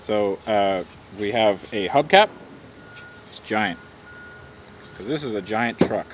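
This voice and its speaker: A man's voice: on the low side, expressive, a little hiss or hum. A man in his thirties hosting a car restoration vlog, explaining parts casually and reading a sponsor spot with upbeat energy. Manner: upbeat, joking, quick sponsor delivery. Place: indoor room for sponsor segment, garage lot outdoors elsewhere.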